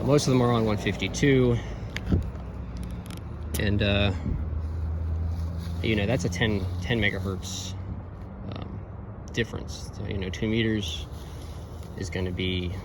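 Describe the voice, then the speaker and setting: A man giving an informal, technical gear review of handheld radios in a halting, rambling, matter-of-fact way. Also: fairly low pitch. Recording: very noisy, radio static and chatter. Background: radio hiss and transmissions.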